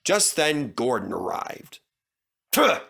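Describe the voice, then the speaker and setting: Animated man narrating a children's story. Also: mid-range voice, a little slow.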